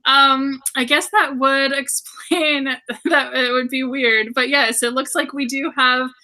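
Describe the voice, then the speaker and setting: A woman's voice: sits high, fairly even intonation, slow, sharp tone. A woman co-hosting a casual book club livestream, upbeat and giggly, often saying "awesome". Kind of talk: casual chat.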